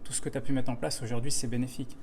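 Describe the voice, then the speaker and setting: A man's voice: mid-range voice, level tone, very fast, a little mellow, slight background noise. A man hosting a podcast, putting informal questions to his guest. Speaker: podcast host, interviewer.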